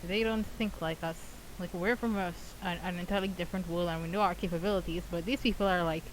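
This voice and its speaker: A woman's voice: lowish voice, steady tone, quick pace, low-quality recording. A woman giving casual gameplay commentary in a rambling, conversational way. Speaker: gaming content creator. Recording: faint hiss.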